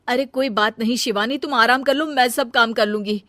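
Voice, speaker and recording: A woman's voice: a little high, normal speed, fairly bright tone, no echo, clean studio sound, lightly acted. A woman voicing a dutiful elder daughter-in-law in a cartoon story, earnest and proper. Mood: kind. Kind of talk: cartoon voice acting.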